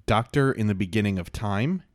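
A man's voice: lowish voice, brisk, no room sound, clean studio sound. Laid-back man hosting a podcast. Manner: laid-back, rambling with fillers and frequent laughs. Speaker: podcast host. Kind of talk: casual chat.

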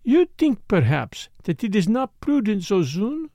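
A man's voice: high voice, big pitch swings, a little slow, warm tone, no room sound, studio quality, full character voice. A man reading aloud voices an insolent, seductive French woman with a put-on French accent, teasing and coaxing. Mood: coaxing, mocking.

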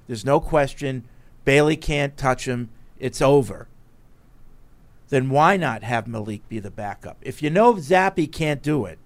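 A man from New Jersey hosting a casual sports radio show, reading listener emails and bantering with his co-host. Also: mid-range voice. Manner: reads listener emails aloud, playful back-and-forth.

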